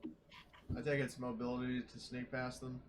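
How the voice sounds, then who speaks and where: A man's voice: mid-range voice, completely flat delivery, normal speed. A man playing a tabletop game, chatting casually with the group over a noisy voice chat connection.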